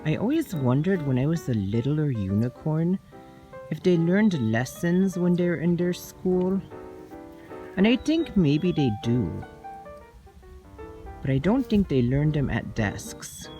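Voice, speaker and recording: A woman voicing a curious young toy unicorn, guiding a slow, soft-spoken tour of a pond outdoors. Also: deep voice, big pitch swings, faint music behind, heavily acted. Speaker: nature-walk guide. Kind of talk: playful toy narration for children.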